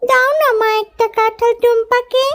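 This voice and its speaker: A little animal daughter pipes up in an extremely high, squeaky voice. She is generous and childlike, pleading insistently in cartoon style. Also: flat delivery, normal speed.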